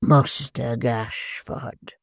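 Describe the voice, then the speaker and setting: A man's voice: medium pitch, fairly even intonation, very slow, creaky, husky, no echo, studio quality, heavily acted. A man putting on a wheezing, gleeful old hangman.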